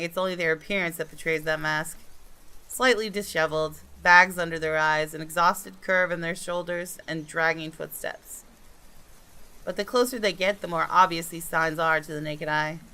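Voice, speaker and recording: A woman narrating visual novel text aloud in a measured, dramatic, expressive way. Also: sits low, rough recording. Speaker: gaming video creator reading a game aloud.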